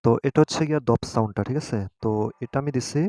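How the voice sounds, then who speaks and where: A man hosting a casual step-by-step tutorial, often pausing to check that listeners follow. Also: mid-range voice, music in the background. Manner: repeatedly adds a checking tag question. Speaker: tutorial host. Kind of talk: casual screen-guided app tutorial.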